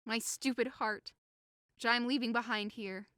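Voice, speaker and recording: Actress as timid lovesick young woman, very high, bright, plaintive, melodramatic, Shakespeare audio play. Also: fairly even intonation, unhurried, no room sound, clean studio sound. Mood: forlorn. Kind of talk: modernized Shakespeare audio drama acting.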